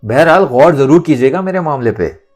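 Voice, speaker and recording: Jovial flirt of a lawyer, about sixty, voiced by a male narrator: teasing, lively intonation, soft music. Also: on the high side, normal speed, voice pushed up. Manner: playful, teasing. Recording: music under the voice.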